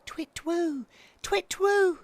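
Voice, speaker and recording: A storyteller voicing an excitable, impatient little boy owl in an insistent, exclamatory way for a children's story. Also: very high-pitched, slow, gravelly, dry recording, voice pushed up, full character voice. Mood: loud hooting.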